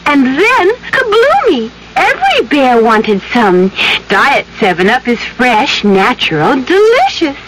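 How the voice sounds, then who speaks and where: Casting: perky storybook heroine for radio ad, very animated, high, boastful, noisy old broadcast. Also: female voice, slow, exaggerated voice. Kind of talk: 1970s radio commercial acting.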